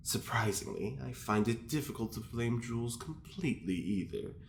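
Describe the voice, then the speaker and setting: A man's voice: medium pitch, lively intonation, moderate pace, creaky. A man playing a fussy, self-important prisoner in an audio drama, speaking his diary aloud with affected theatrical airs. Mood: aggrieved innocence. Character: prim, self-important male prisoner, scheming gossip feigning innocence. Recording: roomy echo with faint background noise.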